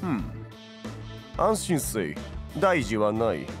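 A man's voice: lowish voice, wildly swooping pitch, takes it slowly, over music, heavily acted. An actor playing a proud, flamboyant tea-master lord in a voice drama, boasting theatrically in archaic old-man phrasing. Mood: assured. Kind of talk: Japanese voice drama acting.

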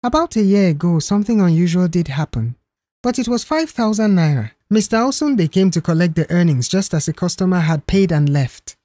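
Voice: mid-range voice, lively intonation, fairly quick, dark tone, no room sound, natural voice. A storyteller voicing a polite, careful and nervous young woman who works in an office. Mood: nervous, explaining.

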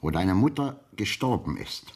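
A man's voice: on the low side, very animated, normal speed, husky, full character voice. An older man playing a proud, principled father-in-law in a radio play, speaking in a dignified, formal and protesting manner. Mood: indignant, defensive.

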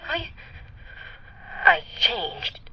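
A woman's voice: a little high, wildly swooping pitch, extremely slow, exaggerated voice. A woman playing a fragile, grateful young girl in a sci-fi audio drama. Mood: tearful gratitude. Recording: muffled, noisy radio drama clip.

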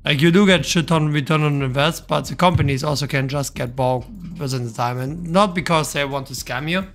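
A man's voice: on the high side, brisk. German man chatting casually over game music. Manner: loose, swearing, restarts often. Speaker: streamer. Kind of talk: casual gaming stream chat.

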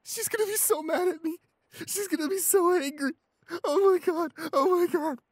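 A high, tearful young male voice, close and dry, acted by a man as a shy boyfriend in an audio roleplay. He is panicked and self-blaming, stammering and repeating himself in distress. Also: normal speed, dry recording. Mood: tearful self-reproach.

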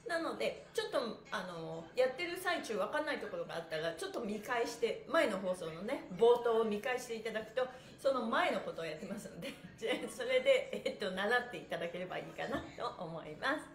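High-pitched cheerful woman leading stretches. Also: expressive, a little fast, hardly any pauses. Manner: cheerful, encouraging, playful, often laughing. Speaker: stretch class instructor and host. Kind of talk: live-streamed exercise lesson with rhythmic chanted counting. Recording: echoey room sound.

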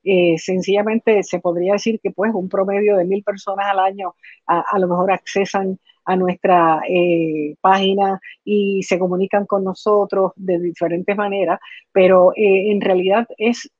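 An older woman with a Puerto Rican accent answers interview questions in an explanatory, halting way. Her voice sits at a medium pitch. The video-call sound is dull and dry, with little room echo. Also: fairly even intonation, a little mellow. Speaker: interview guest, patient foundation director. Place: living room at home, indoors. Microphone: computer video call.

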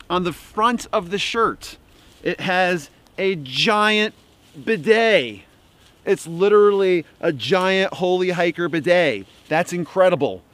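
Middle-aged man, slightly raised pitch, deadpan joking pitch, outdoors in woods, close camera mic. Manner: dry, mock-salesman humor. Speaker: outdoor gear vlogger. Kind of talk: deadpan comic product pitch. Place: outdoors in bare winter woods.

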